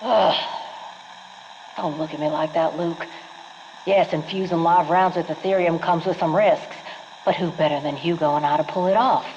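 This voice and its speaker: An actress playing a brash, folksy Marine quartermaster with an American accent, delivering sassy, salty patter for a game. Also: female voice, fairly low pitch, fairly even intonation, normal speed, darkish tone, close to an everyday voice. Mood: indignant. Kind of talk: scripted game monologue. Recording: dull, noisy radio log.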